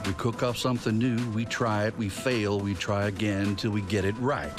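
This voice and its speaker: Male stunt-racer mechanic voiced by an actor for a game: plain-spoken, wry, fairly low pitch. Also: steady tone, normal speed, music in the background, natural voice. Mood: plain-spoken, confident. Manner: dry, teasing, plain-spoken. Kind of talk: video game cutscene acting.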